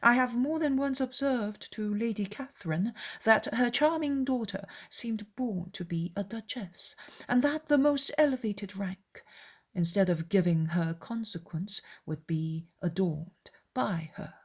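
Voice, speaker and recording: A man's voice: sits high, a little slow, voice pushed up. A woman voicing a pompous, obsequious, self-important young clergyman in an audiobook, with a wordy, formal manner. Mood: smug, fawning.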